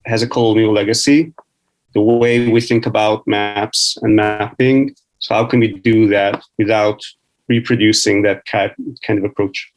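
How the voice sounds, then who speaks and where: A man asks long, searching questions as a panel moderator. His voice sits a little low, and he often pauses to find the right word. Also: low-quality recording. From Hungary. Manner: thoughtful, searching for words. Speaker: academic researcher, panel moderator. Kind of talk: panel conversation.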